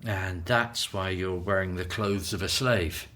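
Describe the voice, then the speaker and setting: An actor playing a calm, devout elderly father in a biblical radio drama. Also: low-pitched, unhurried. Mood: concerned, questioning.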